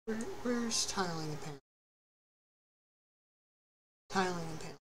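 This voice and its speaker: A high-voiced young man in his late teens from Texas, chatting casually and rambling self-deprecatingly while streaming a game on a headset. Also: quick pace. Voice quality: high, youthful-sounding voice. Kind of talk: casual live-stream chat while playing. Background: ambient noise, thunder outside.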